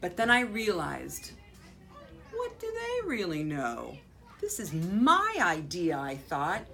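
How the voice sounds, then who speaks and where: An American woman, a school principal, reading a children's picture book aloud expressively, with dramatic pauses and drawn-out words. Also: fairly low pitch, huge pitch swings, vocal fry, sharp tone, faint music behind. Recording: faint background noise.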